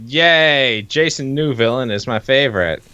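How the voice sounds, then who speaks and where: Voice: medium pitch, unhurried, pushed and bright, smooth, no room sound, muffled recording. A co-host chatting casually and reacting to a webcomic with sarcastic, crude remarks. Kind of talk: casual chat.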